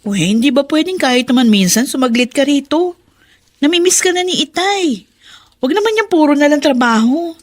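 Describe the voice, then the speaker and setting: A woman acting a caring, worried adult daughter in a Filipino radio drama, earnest, respectful and gently scolding. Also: high-pitched, expressive, a little fast. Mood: earnest pleading.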